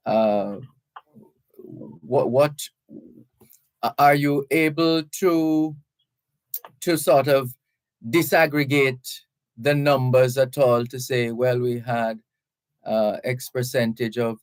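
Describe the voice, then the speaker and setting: A man hosting a live radio interview, speaking in a measured, deliberate way with halting phrasing. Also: medium pitch, steady tone, clean tone, no room sound, rough recording. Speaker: radio host interviewing guests.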